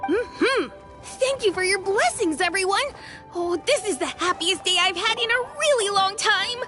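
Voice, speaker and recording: A woman's voice: very high voice, lively intonation, moderate pace, over music. A woman voicing a small, young, innocent dragon in a video game, with a childlike voice and a hesitant, earnest manner. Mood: joyful, grateful.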